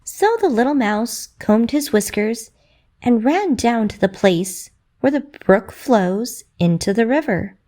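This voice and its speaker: A woman narrating a children's bedtime story in a rhythmic, expressive way with dramatic emphasis. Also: a little high, takes it slowly.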